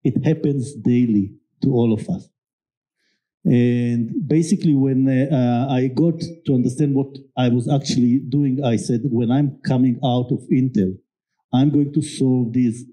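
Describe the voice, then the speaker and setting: A middle-aged man with a thick Israeli accent, telling a candid, self-deprecating story on a panel stage with many pauses and fillers. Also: mid-range voice, steady tone, warm tone, low-quality recording. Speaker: guest, security company executive. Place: event stage before an audience.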